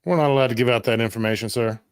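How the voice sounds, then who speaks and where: A man playing a curt, impatient police officer in a tabletop roleplay, gruff and official. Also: mid-range voice, racing, no room sound, studio quality, natural voice. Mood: warning. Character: curt, impatient male police officer guarding crime scene.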